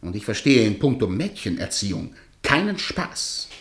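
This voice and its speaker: Radio drama role: stern, jealous male guardian, sarcastic scolder, lively intonation, audible room echo. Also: lowish voice, moderate pace. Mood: scolding, sarcastic. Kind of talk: theatrical radio drama acting.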